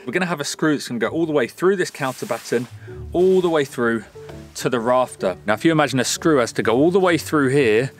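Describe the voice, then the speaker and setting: A man's voice: mid-range voice, expressive, fast talker, faint music behind. Male vlog host, thirties, mid-range voice, informal how-to talk recorded outside. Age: 30s. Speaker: vlog host renovating a house. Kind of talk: casual explaining to camera. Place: outdoors at a rural building site.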